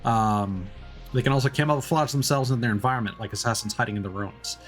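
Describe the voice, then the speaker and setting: A man's voice: mid-range voice, quick pace. A man live-streaming a video game, reading on-screen text aloud and commenting as he plays. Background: faint game music.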